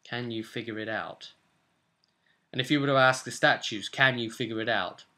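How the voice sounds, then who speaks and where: A young British man chatting casually and emphatically to camera as a game master, rambling in an animated way. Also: mid-range voice, moderate pace. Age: young adult. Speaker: tabletop role-playing game master and vlogger. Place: small furnished room at home, indoors. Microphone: camera or webcam at desk distance.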